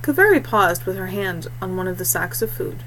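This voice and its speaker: A woman's voice: lowish voice, animated, a little fast, a bit bright, a little hiss or hum, poor audio. A woman reading scripted fiction as an audiobook narrator.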